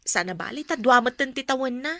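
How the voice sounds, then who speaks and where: A woman's voice: high-pitched, lively intonation, fairly quick, pushed and bright, no echo, heavily acted. A woman acting a dutiful young mother who works at a school canteen, in an Ilocano radio drama. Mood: earnest, resigned.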